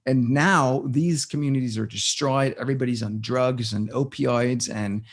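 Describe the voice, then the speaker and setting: A man talks as an interview guest, pitched in an ordinary middle range. He thinks out loud as he goes, restarting sentences and hunting for the right word before finishing his point. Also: normal speed. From Denmark. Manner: thinks aloud, with frequent restarts and searching for words. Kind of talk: casual conversational interview.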